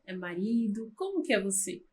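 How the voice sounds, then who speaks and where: A woman's voice: medium pitch, sing-song, moderate pace, darkish tone, husky. A woman in her thirties with a Brazilian Portuguese accent, a podcast host who asks questions and gives brief, encouraging replies. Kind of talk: interviewing.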